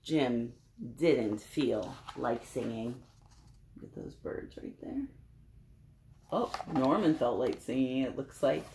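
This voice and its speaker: Animated woman reading a picture book. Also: very deep voice, slow, slight background noise, low-quality recording. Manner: conversational, expressive, addressing young listeners. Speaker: children's storyteller. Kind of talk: animated picture-book read-aloud with asides.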